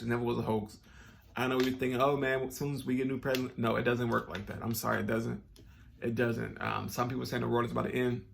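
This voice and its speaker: Earnest gravelly man with a stuffy nose. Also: medium pitch, a bit bright, slight background noise. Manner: earnest, rambling with many restarts and fillers. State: slightly nasal and stuffed up. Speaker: vlogger. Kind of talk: casual personal talk to camera.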